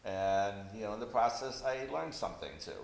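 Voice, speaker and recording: A man's voice: on the low side, a little fast. A man chatting casually as a guest, in a conversational way full of small checking phrases. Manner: conversational, peppers speech with "you know". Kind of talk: casual chat. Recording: roomy echo with clear background hiss.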